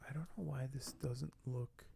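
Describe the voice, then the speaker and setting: A man doing soft-spoken ASMR in a breathy voice that often drops to a whisper, speaking in a slow, hushed, hesitant and apologetic way. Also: medium pitch, background noise. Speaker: ASMR video host.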